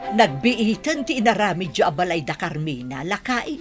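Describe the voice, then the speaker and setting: Lowish-voiced gossipy village wife. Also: female voice, sing-song, normal speed, over music. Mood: curious. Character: inquisitive village wife gossiping with her husband. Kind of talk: Filipino radio comedy-drama acting.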